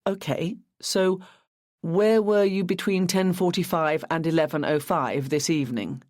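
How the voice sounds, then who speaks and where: A woman voicing a calm, kindly female police detective who questions gently and reassuringly. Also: fairly low pitch, a little slow, dry recording, studio quality, lightly acted.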